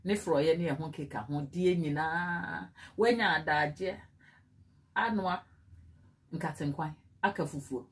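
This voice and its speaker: Slow, low-voiced Ghanaian woman livestreaming. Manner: chatty, addresses viewers as "my people", mixes in Twi. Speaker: cake decorating livestream host. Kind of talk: casual livestream chat with viewers.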